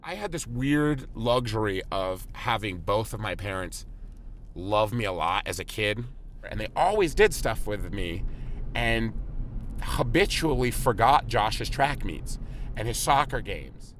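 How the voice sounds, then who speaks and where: A man's voice: fairly low pitch, lively intonation, measured pace, a bit bright, slight background noise. A young man, the son making the film, talks about his family in a casual way, with many fillers. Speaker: filmmaker and son. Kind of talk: casual documentary narration.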